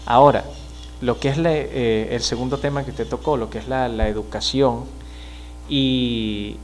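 A man in his thirties from Venezuela, with a Venezuelan accent, speaking as a criminologist guest in a studio interview, measured and explanatory with frequent hesitations. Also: mid-range voice, steady tone, slight background noise. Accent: Venezuelan Spanish. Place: small TV studio. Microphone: mic on desk stand.

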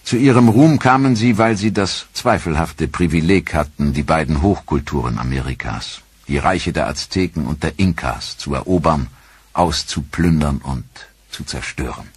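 Male documentary narrator, low husky voice, dry studio read, measured and grave. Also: dry recording.

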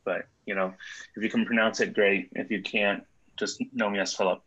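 A man's voice: fairly low pitch, little pitch movement, moderate pace, fairly bright tone, slightly rough. A man in his thirties from Taiwan, introducing himself on a webinar in a friendly, joking way. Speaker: business development officer and co-presenter. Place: indoors on a video call with a virtual coastal background. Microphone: computer mic on a video call. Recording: dull lo-fi video call audio.

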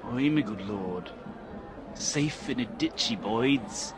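A man's voice: mid-range voice, lively intonation, slow, noisy background. A man playing grim hired killer. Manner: hushed, grim. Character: hired murderer, gruff and low.

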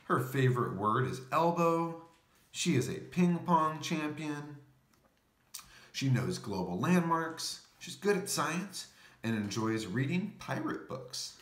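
A man's voice: fairly high pitch, sing-song, takes it slowly, reverberant. A man reading a children's picture book aloud, explaining things as he goes. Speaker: storybook reader.

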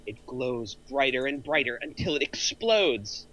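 A man's voice: mid-range voice, sing-song, measured pace, a bit bright, low-quality recording. A middle-aged man reading out screenplay action lines as a narrator. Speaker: narrator of a script table read.

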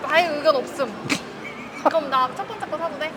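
High-pitched woman bantering on a vlog. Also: a little fast, crowd noise. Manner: playful bantering. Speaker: vlog co-host, wife in a couple channel. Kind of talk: casual vlog chat. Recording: busy noisy urban public places.

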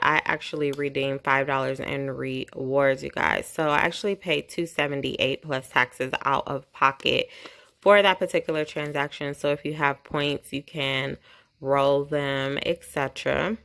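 Brief: chatty couponing vlogger woman, low gravelly voice, phone-recorded at home. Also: a bit bright. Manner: chatty, rattles off prices and totals. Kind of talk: casual shopping haul walkthrough.

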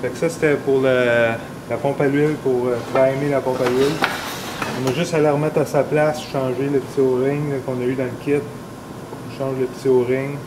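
A man's voice: mid-range voice, flat delivery, moderate pace, noisy background. A man in his forties with a Québécois French accent, explaining a repair step by step in a casual, rambling way with fillers, recorded in a workshop. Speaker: snowmobile mechanic. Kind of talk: hands-on repair tutorial. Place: indoor workshop garage.

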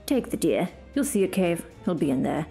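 A woman's voice: fairly low pitch, sing-song, normal speed, creaky, warm tone, faint music behind. A woman voicing a weary male outlaw who speaks curtly. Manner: curt.

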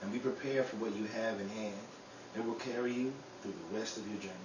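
A man's voice: on the low side, fairly even intonation, quick pace, natural voice. A man playing a solemn, mysterious messenger who exhorts and guides in an old-time radio drama. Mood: solemn, urging. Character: solemn, mysterious male messenger giving guidance. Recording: roomy, distant, clearly noisy recording.

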